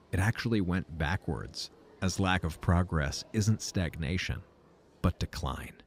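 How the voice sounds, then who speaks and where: An actor playing a weary, regretful aging man, narrating his own story in a measured, reflective way for a fiction podcast. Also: sits low, darkish tone, slight background noise, lightly acted. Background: music.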